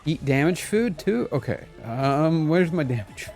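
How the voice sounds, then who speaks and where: A man's voice: a little high, big pitch swings, unhurried, a little mellow. A man live-streaming a game, giving playful, rambling, casual commentary as he plays. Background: game music.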